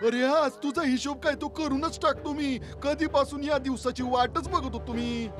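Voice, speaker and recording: A man's voice: very high voice, steady tone, normal speed, over music. A man voicing a grumpy, combative cartoon tea-stall vendor with a blunt, scolding manner. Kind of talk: animated cartoon dub acting.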